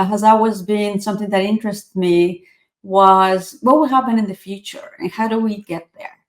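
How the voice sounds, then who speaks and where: Woman, 40s, from Ecuador, reflective interviewee, echoey room. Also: mid-range voice. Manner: earnest, reflective, many fillers and restarts. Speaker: author and tech speaker. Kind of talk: interview answers.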